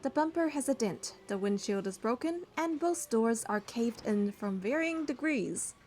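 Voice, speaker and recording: An earnest young heroine, higher-pitched. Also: female voice, expressive, measured pace, darkish tone. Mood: measured, observant. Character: young female heroine, earnest, caring and curious. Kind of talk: first-person narration and dialogue in a romance game.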